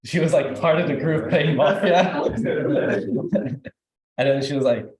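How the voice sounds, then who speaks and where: A man's voice: fairly high pitch, slow, background noise. Young irish guy rambling through a church share, lots of likes and ums, echoey hall. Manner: rambling, many fillers and restarts. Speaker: youth service speaker. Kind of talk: informal testimony sharing. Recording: echoey room.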